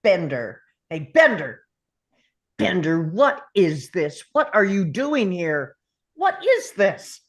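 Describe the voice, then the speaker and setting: A woman playing a bullying, sarcastic male school principal in a script read, taunting with drawn-out contempt. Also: on the low side, big pitch swings, heavily acted. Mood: stern. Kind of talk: table read of a film script.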